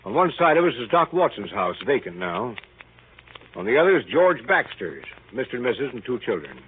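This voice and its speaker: An actor playing a weary middle-aged small-town father, speaking in a clipped, deadpan way in a 1930s radio drama. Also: medium pitch, a little fast, slight background noise, close to an everyday voice. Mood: dry, resigned. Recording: muffled band-limited 1930s radio recording.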